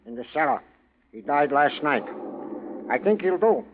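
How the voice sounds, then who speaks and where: Scheming doctor, tinny old radio. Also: male voice, on the high side, sing-song, moderate pace. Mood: urgent. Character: scheming, deferential Nazi camp doctor. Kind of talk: 1940s radio drama acting. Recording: tinny, noisy 1940s radio recording.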